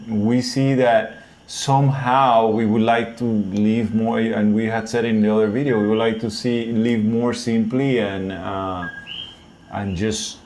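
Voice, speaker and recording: A man's voice: fairly low pitch, a little mellow, a little hiss or hum, low-quality recording. A man with a Puerto Rican accent, co-hosting a vlog in casual chat, often searching for words and restarting. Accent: Puerto Rican Spanish. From Puerto Rico. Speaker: vlog co-host.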